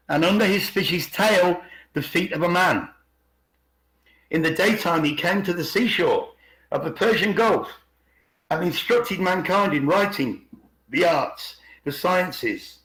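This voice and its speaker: A man's voice: fairly high pitch, fairly bright tone. Echoey man presenting with rhetorical questions. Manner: rhetorical questions, emphatic repetition. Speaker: video channel host. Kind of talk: informal presentation with commentary. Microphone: video call. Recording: echoey video call audio.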